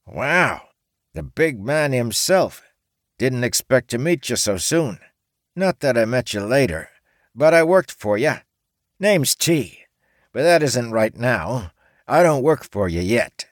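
A man voicing a brash female orc who used to be a man, loud and bossy. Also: medium pitch, lively intonation, takes it slowly, no room sound, clean studio sound, pitched above the performer's own voice. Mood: nervous.

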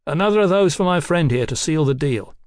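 Storybook rogue detective, cheeky and slangy, higher-pitched male reader. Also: lively intonation, fairly quick, no echo, studio quality, lightly acted. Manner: slangy, insolent, needling. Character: sly, cheeky Cockney private detective, a vicious rascal.

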